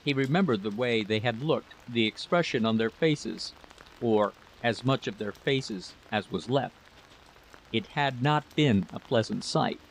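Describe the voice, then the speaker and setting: A man's voice: mid-range voice, measured pace, poor audio. Male story narrator over rain-like noise. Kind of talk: scripted story narration with character voices.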